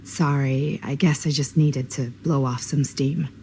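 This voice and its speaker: An actress playing a worn-down young American big sister in a video game drama, talking in a wry, sardonic way. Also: female voice, very deep voice, fairly even intonation, a little slow, mellow, a little hiss or hum. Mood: apologetic. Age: young adult.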